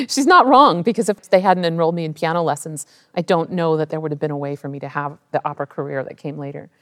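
A woman's voice: low-pitched, a bit bright, no room sound, studio quality. A woman, thoughtfully telling her life story in an interview. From United States (California). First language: raised bilingual in an Indian immigrant family. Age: 50s. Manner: reflective, candid, unhurried. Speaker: interview guest, opera singer.

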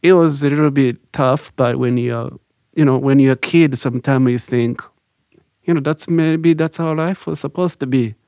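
A man, telling his life story in a reflective, halting way with many fillers. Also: medium pitch, darkish tone. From Rwanda. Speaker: interview guest. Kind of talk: recalled life story, casual.

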